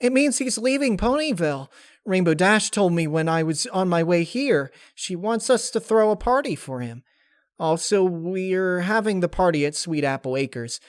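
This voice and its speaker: A man voicing an excitable female cartoon pony, bubbly and scheming, in an emphatic, eager storybook reading. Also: normal speed, no echo, clean studio sound. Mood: excited scheming. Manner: emphatic, eager, high-strung. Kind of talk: dramatic fan-fiction character reading.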